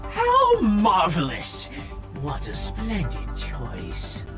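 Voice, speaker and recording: A man's voice: high-pitched, huge pitch swings, very slow, voice pushed up, exaggerated voice. A man voicing a smug, secretive artificial intelligence guide in a video game, theatrical and teasing. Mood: smug delight. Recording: loud background music.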